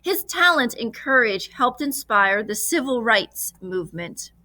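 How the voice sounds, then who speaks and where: A woman reading a children's book aloud as an expressive storyteller, switching between plain narration and animated dialogue. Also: fairly high pitch, measured pace, no echo.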